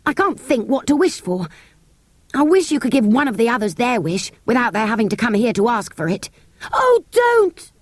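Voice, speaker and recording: A woman voicing an eager, polite young English schoolboy in a storybook, earnest and excitable, with a British accent. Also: on the high side, normal speed, dry recording, voice pushed up. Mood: flustered.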